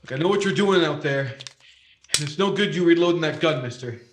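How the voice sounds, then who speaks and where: A man's voice: on the high side, expressive, brisk, noisy background, natural voice. A man acting in an old-time radio thriller as a wary, stubborn working-class plumber, plain-spoken, slangy and defiant. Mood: stubborn, sceptical. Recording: echoey, roomy voice.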